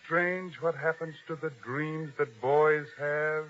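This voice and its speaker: An actor playing a proud, ambitious army captain, boastful and self-important, in a 1950s radio drama style. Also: male voice, a little high, fairly even intonation, slow pace, a bit bright. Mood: boastful reminiscing. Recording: dull old radio broadcast recording.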